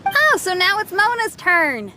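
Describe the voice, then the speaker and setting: A woman's voice: very high-pitched, sing-song, unhurried, bright, forceful tone, heavily acted. A woman voicing a friendly, down-to-earth teenage girl who talks casually and encouragingly in an English game dub. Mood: supportive.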